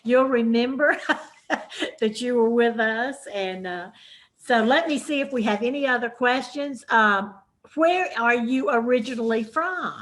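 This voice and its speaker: A woman's voice: fairly high pitch, a little slow. Southern woman host, chatty moderator of a book talk, lots of fillers. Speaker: moderator of a women's book program. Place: hybrid in-person and Zoom event.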